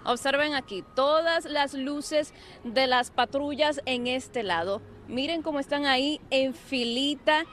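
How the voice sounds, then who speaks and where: A young woman reporting live for the news from a city street at night, speaking into a handheld mic with a clear, energetic on-air delivery. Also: high-pitched, unhurried. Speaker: field reporter.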